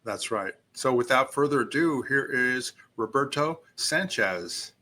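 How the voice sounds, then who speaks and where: Bright-toned male podcast host. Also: medium pitch, animated, a little slow. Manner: easygoing, loose restarts. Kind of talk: casual interview.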